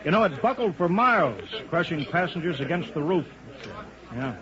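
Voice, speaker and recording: Commanding radio-drama chief engineer, muffled vintage sound. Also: male voice, fairly high pitch, very animated, normal speed. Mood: assured. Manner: authoritative, clipped, urgent orders. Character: commanding, brisk chief engineer of futuristic rolling roads. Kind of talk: 1950s radio drama acting. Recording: muffled vintage radio broadcast with background crowd.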